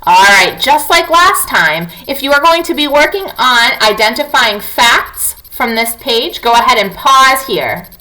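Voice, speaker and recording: A woman's voice: on the high side, lively intonation, unhurried, hardly any pauses, pushed and bright, rough recording. A woman teacher reading a non-fiction book aloud to young children, talking to them directly with questions and prompts.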